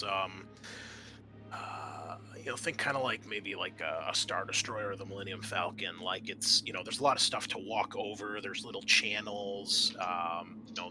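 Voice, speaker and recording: A man working as game master, improvising narration for a tabletop roleplaying game in an informal, conversational, halting way. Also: fairly low pitch. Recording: music behind the voice. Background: music.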